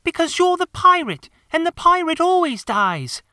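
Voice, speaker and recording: Man doing a bossy, squeaky-high little brother. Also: big pitch swings, moderate pace, sharp tone, no echo, studio quality, full character voice. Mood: insistent.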